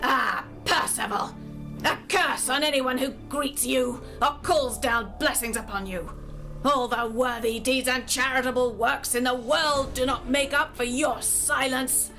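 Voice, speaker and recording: A hideous old-tale hag, voiced by a woman, bitter and accusing. She declaims in a high voice, portentous and scolding, as in a theatrical drama, with music clearly underneath. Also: measured pace, sharp tone, full character voice, rough recording. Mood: accusing, scornful.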